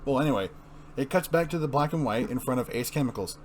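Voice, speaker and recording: A man's voice: mid-range voice, animated, fast talker, a little mellow, a little hiss or hum. A man narrating and commenting casually on a comic as part of a dramatic reading. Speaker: reviewer and reader.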